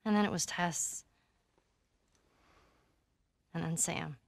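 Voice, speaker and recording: A woman's voice: fairly low pitch, slow pace, vocal fry, dry recording, exaggerated voice. A woman playing a vulnerable, plain-spoken American teenage girl survivor in a video game. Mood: sad, hesitant. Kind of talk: cinematic video game acting.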